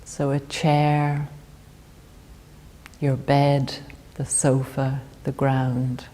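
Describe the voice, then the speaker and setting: A woman leading a guided meditation in a calm, gentle, soothing manner. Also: very deep voice, extremely slow. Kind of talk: guided body scan meditation.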